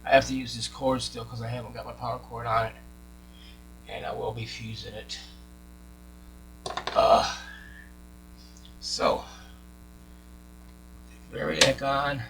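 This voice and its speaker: A man's voice: mid-range voice, fairly even intonation, slow, long pauses. A man giving a casual hands-on commentary as he repairs things, muttering and thinking aloud to himself. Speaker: radio repair hobbyist. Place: repair workshop. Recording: clear mains hum and noise.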